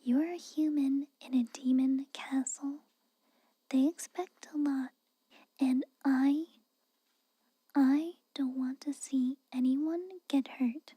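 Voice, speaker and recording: A woman playing a guarded, haughty but secretly caring castle maid in a whispered ASMR roleplay, halting and grudging, close to the microphone. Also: high-pitched, fairly even intonation, no room sound. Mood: cautioning, stern. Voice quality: soft, breathy whisper. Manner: hesitant, halting, grudging, cautioning.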